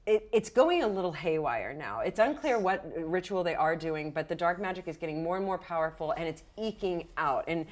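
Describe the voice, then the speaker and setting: A woman's voice: fairly low pitch, lively intonation, a little fast, hardly any pauses. A woman acting as game master, explaining rules and narrating a tabletop roleplaying game in a casual, improvised way.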